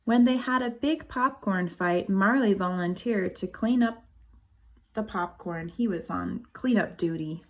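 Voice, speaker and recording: A woman reading a children's picture book aloud as a teacher, pausing to explain things and ask the children questions. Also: mid-range voice. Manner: explains to young children, asks them questions. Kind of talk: animated storybook read-aloud with asides to children.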